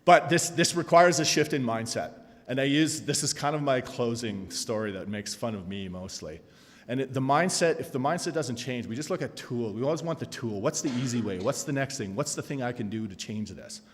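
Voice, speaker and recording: A man's voice: medium pitch, fairly quick, slight background noise. Man in his forties in a hall. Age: 40s. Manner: self-deprecating, animated storytelling. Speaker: conference panelist. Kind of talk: conference talk with humorous story. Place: conference hall. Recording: long hall reverb.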